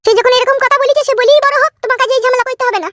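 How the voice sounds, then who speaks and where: Woman playing shrill, rapid-fire village mother-in-law. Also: fairly even intonation, fairly bright tone, dry recording. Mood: lecturing. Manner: scolding, insistent, lecturing. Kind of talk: Bengali cartoon acting.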